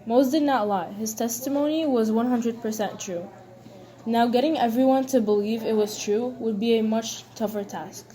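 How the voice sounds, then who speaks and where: Higher-pitched, soft-toned woman reading news. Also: normal speed. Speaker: news co-presenter. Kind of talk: scripted news report, read aloud.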